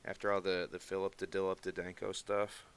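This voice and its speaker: A man's voice: deep voice, little pitch movement, smooth. A man with a nasal voice that sounds sarcastic by default, chatting casually with viewers while he draws on a stream. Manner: rambling, quick asides and mutters while working. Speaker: streaming artist.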